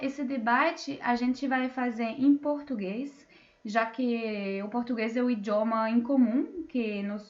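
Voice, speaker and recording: A woman's voice: high voice, muffled recording. A woman moderating an online panel, introducing guests with many fillers and restarts, over a video call. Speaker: debate moderator.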